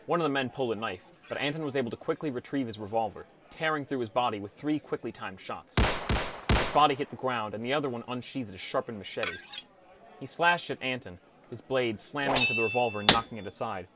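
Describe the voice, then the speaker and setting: A man's voice: mid-range voice, fast talker, heavy background noise. A man reading a scripted first-person story as an audiobook narrator.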